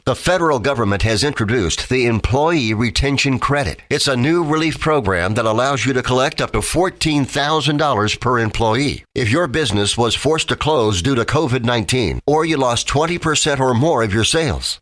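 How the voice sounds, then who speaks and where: Male commercial announcer, mid-range pitch, scripted direct-response read. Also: a bit bright. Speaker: advertisement announcer.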